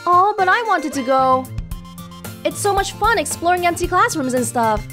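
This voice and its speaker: Woman putting on a high, bubbly schoolgirl. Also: moderate pace, full character voice. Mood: excited. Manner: cheerful, excitable. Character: bubbly, childlike, eager teenage schoolgirl. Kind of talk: visual novel character reading. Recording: music behind the voice.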